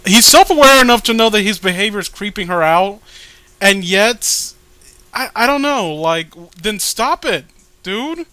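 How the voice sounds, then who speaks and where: A man's voice: high-pitched, expressive, unhurried, sharp tone, dry recording. A man riffing casually as he hosts a comic read-along, profane, emphatic and argumentative. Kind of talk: casual riffing commentary with read-aloud dialogue.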